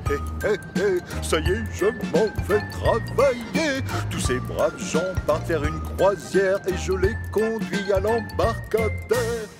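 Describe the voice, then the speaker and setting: A man's voice: high voice, exaggerated voice. An actor voicing a forgetful, cheerful male diesel engine in a cartoon, chuckling and scatterbrained. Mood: eager. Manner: chuckling, scatterbrained, sing-song. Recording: cartoon soundtrack with music behind.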